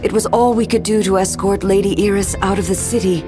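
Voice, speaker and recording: Woman playing a loyal guard officer, serious and formal, medium pitch, game music in back. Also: steady tone, quick pace, natural voice. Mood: grave, relieved. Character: composed, loyal female royal guard, formal and grave. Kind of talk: video game cutscene acting. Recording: music behind the voice.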